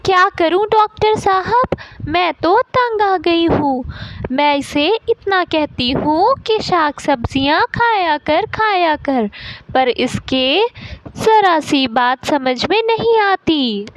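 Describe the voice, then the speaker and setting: A woman's voice: extremely high pitch, lively intonation, slow pace, voice pushed up, exaggerated voice, poor audio. A woman voicing a caring, anxious mother bird in a cartoon, motherly and fretful. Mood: exasperated.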